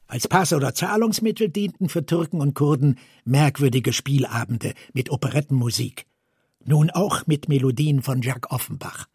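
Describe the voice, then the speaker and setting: A man's voice: medium pitch, normal speed, darkish tone, husky, dry recording, studio quality. A man reading literary narration in the manner of a radio story.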